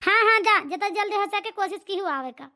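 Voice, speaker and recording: A woman's voice: very high-pitched, animated, a little fast, bright, forceful tone, full character voice. A woman with an Awadhi accent voicing a practical, bargaining village auntie in a cartoon. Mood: matter-of-fact. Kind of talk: homemade cartoon comedy dialogue.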